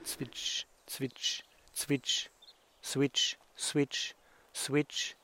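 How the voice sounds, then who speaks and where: A man performing fragmentary spoken word outdoors, naming things in deliberate single words and repetitions. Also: mid-range voice, level tone, pushed and bright. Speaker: performer in a walking sound piece. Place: outdoors on a forest path. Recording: faint outdoor noise and clicks.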